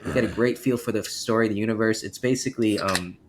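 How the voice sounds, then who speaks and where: A man's voice: fairly low pitch, fast, slight background noise. A man speaking as a podcast guest in a casual, conversational style. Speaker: guest promoting his own book.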